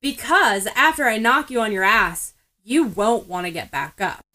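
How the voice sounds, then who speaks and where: A woman voicing a gruff, scornful teenage boy with a curt, annoyed manner, in a storybook reading. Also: on the high side, lively intonation, moderate pace, bright, forceful tone. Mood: smug, commanding.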